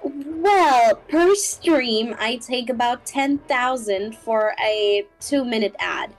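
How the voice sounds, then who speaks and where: A woman voicing a dry, sceptical, needling young princess in an improvised comedy fan dub, speaking haltingly. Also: a little high, poor audio. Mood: businesslike. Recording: music behind the voice.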